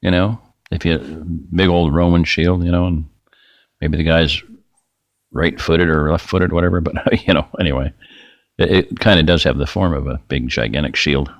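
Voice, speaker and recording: A middle-aged man hosting a rambling, folksy screen-share talk, his voice getting raspy and dry. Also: sits low, steady tone, moderate pace, no echo. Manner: rambling, folksy, self-interrupting. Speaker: video host.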